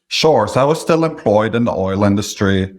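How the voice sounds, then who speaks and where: A man in his late thirties from Norway with a Norwegian accent, a guest in an interview who speaks in a measured way with frequent pauses. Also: fairly low pitch, animated. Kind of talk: interview conversation.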